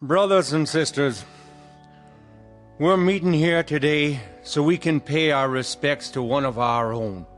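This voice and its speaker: A man's voice: fairly high pitch, measured pace, faint music behind, lightly acted. A man with a Southern American accent playing a folksy, solemn and fervent rural reverend in a radio drama. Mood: solemn, kindly. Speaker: preacher. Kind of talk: radio drama acting, funeral eulogy.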